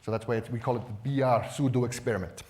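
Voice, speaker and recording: A man presenting at a conference in a measured, explanatory manner, stressing key words with emphasis. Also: medium pitch, steady tone, a little mellow. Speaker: conference speaker.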